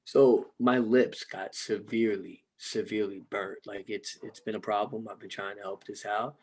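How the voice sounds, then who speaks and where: A man co-hosting a podcast in casual chat, hoarse from losing his voice, joking loosely and swearing freely. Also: lowish voice, steady tone, normal speed. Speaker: podcast co-host.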